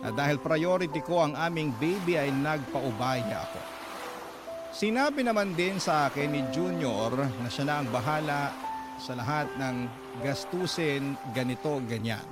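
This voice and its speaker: A man's voice: on the high side, lively intonation, unhurried. A man reading an aggrieved young mother's letter as radio drama narration, confiding and sardonic. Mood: confiding, resentful. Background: loud background music.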